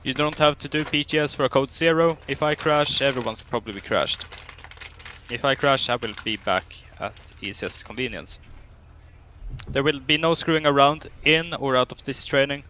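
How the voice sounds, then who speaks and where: A man's voice: medium pitch, normal speed, sharp tone, a little hiss or hum. A young man with a Swedish accent running a roleplay police training, stern and drill-like with dry humor. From Sweden. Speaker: training officer.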